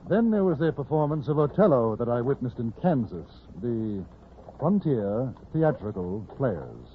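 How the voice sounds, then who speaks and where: An actor playing an urbane, wry gentleman traveler, articulate and formal, in old radio drama style. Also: mid-range voice, sing-song, measured pace. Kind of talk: 1950s radio drama narration and dialogue. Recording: tinny, hissy old radio recording.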